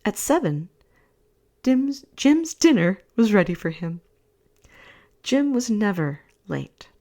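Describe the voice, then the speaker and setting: A young woman reading a bedtime story aloud in a soft, half-whispered voice. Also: on the low side.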